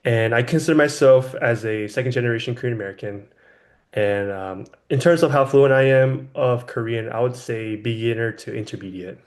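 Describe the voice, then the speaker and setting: A man's voice: medium pitch, moderate pace. A young man from California in his twenties, hosting a casual podcast chat in a friendly way, with lots of ums and you knows. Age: 20s. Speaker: podcast host.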